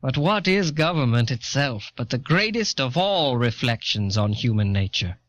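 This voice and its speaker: A man playing an earnest eighteenth-century American statesman, reading historical writings with formal, deliberate oratory, recorded on an old cassette. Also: mid-range voice, sing-song, fairly bright tone. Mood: earnest, reasoned. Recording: dull, dry old audio cassette.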